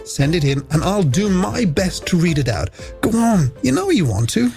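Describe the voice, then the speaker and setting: A man's voice: sits high, big pitch swings, moderate pace, creaky, a little mellow. A man presenting a late-night music radio show in chatty, playful, scripted patter.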